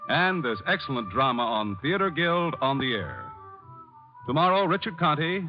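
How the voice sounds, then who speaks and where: An American male radio announcer in mid-century broadcast style, reading scripted copy with a very lively, swinging pitch. Music plays loudly behind him on a muffled old recording. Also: normal speed. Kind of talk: 1940s-50s radio announcing, scripted credits and promotion. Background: loud music.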